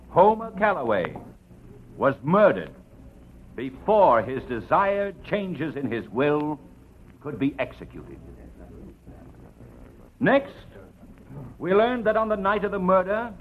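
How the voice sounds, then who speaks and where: An actor playing an aggressive, eloquent prosecutor in an old radio drama, crisp and oratorical with dramatic pauses. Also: male voice, a little high, sing-song, a little hiss or hum. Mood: insistent, grave. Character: aggressive, eloquent male assistant district attorney in court. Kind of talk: old radio drama acting, courtroom speech. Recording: dull vintage radio drama recording.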